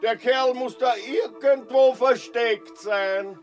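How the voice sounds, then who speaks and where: A man's voice: very high-pitched, takes it slowly, soft background music. An actor playing an officious policeman who gives instructions and warnings in a radio drama. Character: officious policeman, calling out, then confiding warnings.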